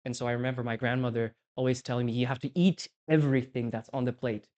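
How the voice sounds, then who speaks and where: A man's voice: medium pitch, steady tone, fast talker, a little mellow. A man in his twenties from Poland, co-hosting a podcast and being interviewed, talking in a reflective way and thinking aloud with frequent restarts. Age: 20s. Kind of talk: casual podcast conversation.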